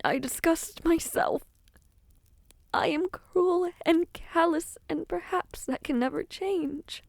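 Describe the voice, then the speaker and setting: High-voiced, anguished young princess, acted by a woman. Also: vocal fry, a little mellow, dry recording, studio quality, heavily acted. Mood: self-loathing, anguished. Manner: formal, halting, anguished speech. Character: anguished young princess with dark magic, full of self-loathing. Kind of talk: dramatic audio roleplay acting.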